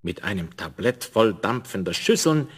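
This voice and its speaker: A man's voice: lowish voice, big pitch swings, moderate pace, slightly rough. A man narrating a scripted fairy tale in the manner of a 1970s children's audio play. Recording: noisy vinyl rip with clicks.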